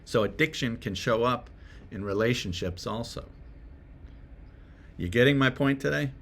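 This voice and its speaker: A man's voice: medium pitch, moderate pace, fairly bright tone. Man giving a chatty self-help talk. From Swedish family roots. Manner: conversational, asks the viewer rhetorical questions. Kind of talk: direct-to-camera motivational talk.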